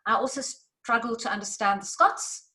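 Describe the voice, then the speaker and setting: A woman's voice: fairly high pitch, moderate pace, bright, forceful tone. A woman who is a native English speaker and a language coach, chatting casually with many fillers and restarts. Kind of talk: casual podcast chat.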